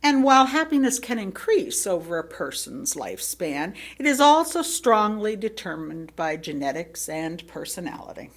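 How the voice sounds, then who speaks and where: A woman reading a sermon aloud to a congregation in measured, deliberate phrases with pauses between them. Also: medium pitch, big pitch swings. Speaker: lay speaker reading another minister's sermon to a congregation. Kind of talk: sermon read aloud, with brief asides.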